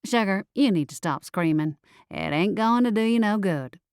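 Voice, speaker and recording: A woman's voice: mid-range voice, lively intonation, normal speed, darkish tone, clean tone, no room sound, studio quality, lightly acted. Woman voicing a sassy, bossy talking cat. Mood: blunt, coaxing. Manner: folksy, blunt, bossy.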